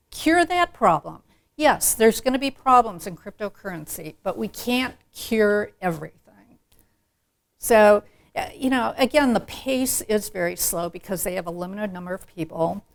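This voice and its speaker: Woman panelist, blunt and animated, slight vocal fry. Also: mid-range voice. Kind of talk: panel discussion.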